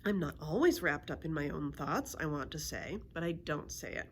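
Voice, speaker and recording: A woman reading a children's story aloud in an expressive storytelling style. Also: deep voice, moderate pace, a little hiss or hum. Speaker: library story reader.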